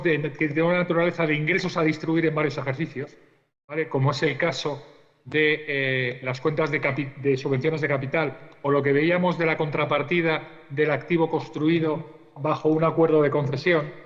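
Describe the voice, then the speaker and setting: A man's voice: fairly high pitch, fairly bright tone. A man from Spain answering a question on a conference panel, explaining at length and checking in with his listeners as he goes. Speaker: public accounting official. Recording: muffled audio in a large reverberant hall.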